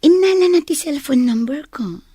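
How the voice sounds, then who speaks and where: A woman playing a giggly, smitten young woman in a Filipino radio drama, with an expressive, teasing delivery and a Filipino accent. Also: high voice, moderate pace, no room sound, clean studio sound. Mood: giddy, teasing. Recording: radio drama with music underneath.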